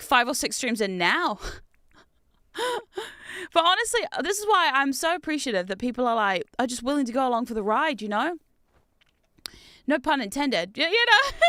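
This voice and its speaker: A woman's voice: sits high, dry recording, clean studio sound. A young woman from New Zealand with a mixed New Zealand and British accent, chatting casually while livestreaming a game and reading chat aloud. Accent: mix of New Zealand and British. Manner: chatty, animated, reads chat aloud, laughs often. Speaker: game streamer.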